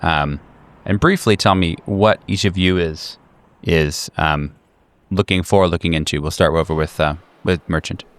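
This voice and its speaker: A man narrating an improvised tabletop game as game master, in a conversational, halting way with repeated words. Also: low-pitched, no room sound.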